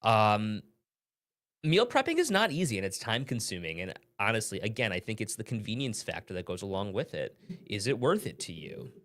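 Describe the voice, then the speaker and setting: A man's voice: on the low side, big pitch swings, moderate pace, fairly bright tone. A man with a faint Long Island accent co-hosting a casual morning chat show, laughing easily and trailing off mid-thought. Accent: faint Long Island, mostly smoothed out. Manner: chatty, laughs often, trails off.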